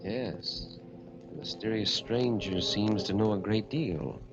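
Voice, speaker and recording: A man's voice: on the low side, slow. An actor as the foreboding, theatrical male announcer of an old-time radio suspense show. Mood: ominous. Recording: muffled old broadcast, loud music behind.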